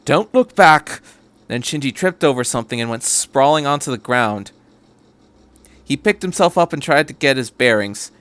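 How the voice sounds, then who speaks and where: A man's voice: medium pitch, normal speed, a bit bright, clean tone, no echo. A man reading a story aloud as a podcast host, in scripted narration. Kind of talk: scripted narration of fan fiction.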